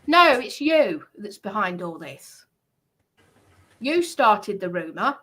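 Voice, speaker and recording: A woman's voice: medium pitch, very animated, slow, sharp tone. A woman in casual, argumentative livestream chat, blunt, defensive and emphatic in the way she talks.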